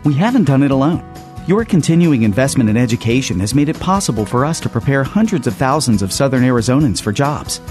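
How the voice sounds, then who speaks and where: Brief: male announcer, animated pitch, scripted ad, soft guitar under. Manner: smooth, measured promotional delivery. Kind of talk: scripted college ad read.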